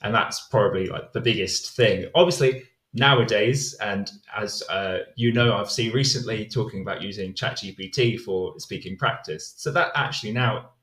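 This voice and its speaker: A man's voice: fairly low pitch, normal speed, few pauses, a bit bright. Man, english teacher guest, chatty podcast talk, lots of fillers, roomy echo. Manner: chatty, reflective, many fillers and restarts. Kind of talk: podcast interview, conversational. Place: lives in Spain.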